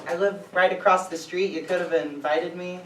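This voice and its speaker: A woman playing an awkward, slightly wounded male neighbor with halting delivery in a comic play reading. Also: fairly low pitch, fairly even intonation, natural voice. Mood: hurt. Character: David, awkward wounded neighbor man, out of place. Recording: echoey, distant mic, audience noise.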